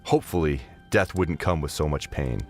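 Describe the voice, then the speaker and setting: A man's voice: very deep voice, normal speed, a little mellow, faint music behind. A man narrating a scripted fantasy audio drama in a dramatic storytelling style.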